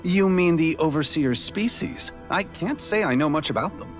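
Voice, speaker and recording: An actor playing a breezy, blunt know-it-all informant in a video game, explaining in an offhand way. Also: male voice, on the high side, expressive, moderate pace, faint music behind, lightly acted. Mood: easygoing. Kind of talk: video game cutscene voice acting.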